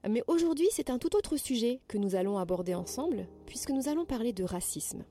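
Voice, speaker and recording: A woman hosting a podcast, gently reading out prepared interview questions in a measured way. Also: on the high side, animated.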